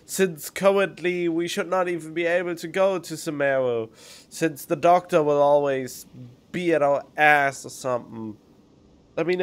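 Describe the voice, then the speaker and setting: A man who is not a native English speaker, giving casual, blunt game commentary with halting phrasing. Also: fairly high pitch, clear, resonant tone. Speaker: game streamer. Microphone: studio condenser microphone. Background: faint game music.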